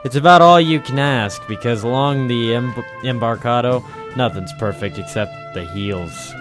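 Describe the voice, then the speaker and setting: An actor playing a cynical, wisecracking waterfront operator in a 1940s radio noir drama, deadpan and terse. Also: male voice, medium pitch, normal speed, music in the background, close to an everyday voice. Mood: sardonic, tough. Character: cynical hard-boiled waterfront private operator, male, wisecracking. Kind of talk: 1940s radio noir first-person narration and dialogue. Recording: 1940s radio broadcast recording.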